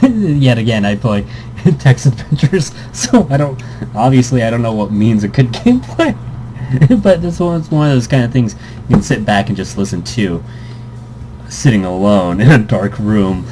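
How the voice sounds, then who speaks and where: A man doing casual let's play commentary, reading game text aloud and breaking off into rambling asides. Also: lowish voice. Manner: rambling, self-interrupting asides. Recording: noisy amateur home recording.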